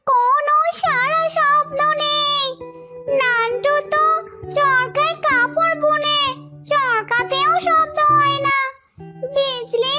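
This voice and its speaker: Shrill, very high old fox granny. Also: female voice, sharp tone, no room sound, studio quality, full character voice. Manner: drawn-out calling and exclaiming. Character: old village fox granny, nosy, alarmed, wailing. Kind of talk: children's cartoon voice.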